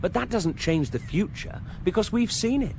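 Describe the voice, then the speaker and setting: An actor playing a brash, cynical galactic hitchhiker in a sci-fi comedy radio drama, blunt and emphatic. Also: male voice, high-pitched, animated, moderate pace, darkish tone, slight background noise.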